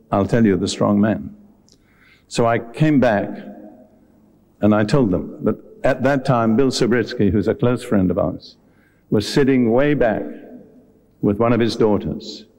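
Male preacher, mellow dark tone, mid pitch, earnest, deliberate, direct sermon delivery. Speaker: visiting preacher. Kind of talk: sermon with Bible teaching and altar call. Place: live before a congregation at a meeting.

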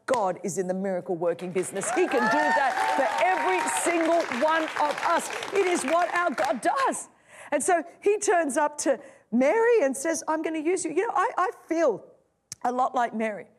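A middle-aged woman preaches her testimony. She is passionate and builds from soft, low passages to loud, soaring ones. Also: sing-song, normal speed, crowd noise, background noise. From Australia. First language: Greek. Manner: impassioned, swings from loud to soft. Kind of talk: sermon testimony.

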